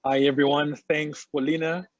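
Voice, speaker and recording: A man from Uganda, an electrical engineer, introducing himself calmly. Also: a little high, normal speed, no echo, lo-fi sound.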